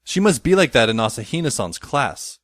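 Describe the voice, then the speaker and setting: A man playing a wry high school boy who narrates an audio drama in the first person, musing as he goes. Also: very animated, moderate pace, a bit bright, no echo, studio quality.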